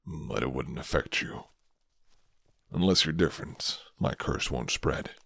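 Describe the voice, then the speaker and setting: A man voicing a gruff, flirty but guarded werewolf in an intimate, murmured ASMR roleplay, with teasing pauses. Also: a bit bright, exaggerated voice. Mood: musing, low. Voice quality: deep, low and gravelly.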